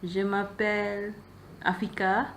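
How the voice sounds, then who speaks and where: A woman's voice: medium pitch, level tone, slow pace, vocal fry, fairly bright tone, a little hiss or hum. A young woman, a non-native speaker whose first language is Malay, asking interview questions hesitantly, with many fillers and repeated answers. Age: young adult. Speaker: student interviewer.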